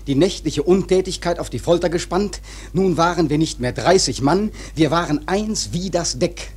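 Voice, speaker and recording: A sailor narrating a dramatic sea tale for radio. His husky voice sits fairly high and moves widely in pitch, with earnest, intense storytelling. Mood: solemn, stirred.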